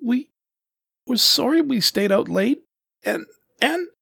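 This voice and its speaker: A man's voice: very high voice, lively intonation, slow pace, creaky, fairly bright tone, breathy edge, no echo, voice pushed up, heavily acted. A man voicing a frightened young female serval kitten in a story, timid, faltering and pleading. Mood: tearful pleading.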